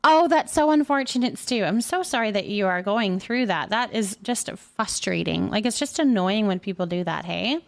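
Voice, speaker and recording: A woman's voice: mid-range voice, animated, moderate pace, vocal fry, hardly any pauses. A woman hosting a casual live stream, reading out and answering viewer messages in an affectionate, reassuring way. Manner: affectionate, addresses listeners with endearments.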